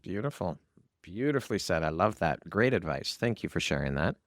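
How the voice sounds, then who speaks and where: A man hosting an interview podcast, speaking in measured, appreciative and rather formal phrases. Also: sits low, very animated, dry recording, clean studio sound. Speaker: podcast host. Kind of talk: interview hosting with polished outro.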